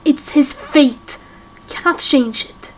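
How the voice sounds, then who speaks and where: A grave leader of an underground people, played by a woman, in a game roleplay. The voice is high and slow, with a solemn, fatalistic manner. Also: low-quality recording.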